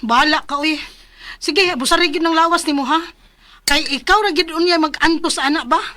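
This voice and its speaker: A scolding, caring Cebuano wife in a radio drama. Her voice is high. She fusses and nags expressively. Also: brisk. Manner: nagging, expressive. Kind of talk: Philippine radio drama acting.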